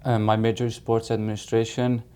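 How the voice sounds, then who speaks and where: A man's voice: fairly low pitch, little pitch movement, fairly quick, mellow, clear, resonant tone. A man in his twenties with a Hungarian accent, a college athlete answering in an interview. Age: 20s. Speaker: college soccer player. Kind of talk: interview introduction.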